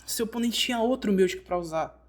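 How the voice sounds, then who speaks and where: A Brazilian Portuguese narrator delivers a scripted game tutorial. The voice sits at a medium pitch and speaks straight to the learner in an instructive way, as in a fan dub. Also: fairly quick. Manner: instructive, addressing the learner directly.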